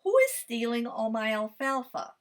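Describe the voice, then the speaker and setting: A woman voicing a gruff middle-aged male farmer who exclaims and scolds loudly. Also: fairly high pitch, big pitch swings, normal speed. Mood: puzzled.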